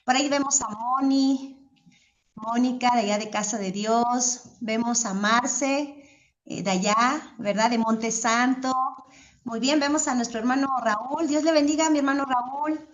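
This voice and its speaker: A woman's voice: on the high side, unhurried. A woman teaching an online church class, speaking courteously and devoutly as she invites students to take part. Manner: courteous, devout, encouraging participation. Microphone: video call. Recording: dull, lo-fi video call audio.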